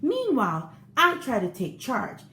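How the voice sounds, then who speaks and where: An earnest, eager-to-please storybook egg, acted out by a woman in a high, very animated voice. The delivery is theatrical and expressive, as if telling its own tale to children. The room adds a noticeable echo. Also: measured pace, heavily acted. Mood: earnest, strained. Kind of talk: children's picture book read-aloud, first-person storytelling. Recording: roomy echo with faint background noise.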